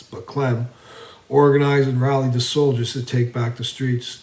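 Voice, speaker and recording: A man narrating a fantasy story theatrically, swinging between emphatic and hushed delivery. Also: medium pitch. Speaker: storyteller reading own fantasy series. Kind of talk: dramatic fantasy audiobook narration. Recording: roomy, echoing voice recording.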